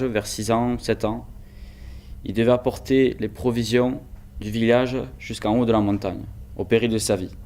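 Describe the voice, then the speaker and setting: A man's voice: on the low side, mellow. A teenage boy telling his grandfather's story in an earnest, hesitant way. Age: teens. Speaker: student telling family history. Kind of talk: personal testimony, documentary voice-over.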